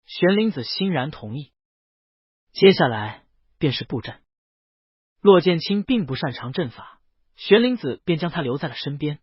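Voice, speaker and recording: A man narrating a fantasy novel in an expressive, storytelling manner. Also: fairly high pitch, breathy edge, no room sound, lo-fi sound. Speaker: audiobook narrator.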